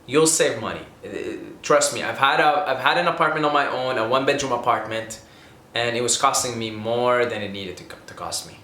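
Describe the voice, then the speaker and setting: A man's voice: mid-range voice, fairly quick, pushed and bright. Young man from Syria, casual vlog talk, rambling, earnest, frequent restarts, echoey room. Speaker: vlogger giving money tips.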